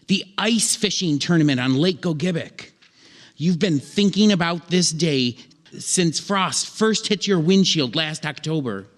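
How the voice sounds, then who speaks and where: A man narrating a scripted comic story on stage in an old-time radio show, deliberately and with dramatic pauses. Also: on the high side. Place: live theatre stage. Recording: theatre hall reverb, faint noise.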